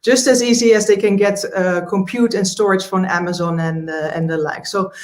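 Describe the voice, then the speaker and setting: A woman with a Dutch accent, giving an informal business presentation as a sales trainer, with halting phrasing. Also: medium pitch, fairly even intonation, a little mellow.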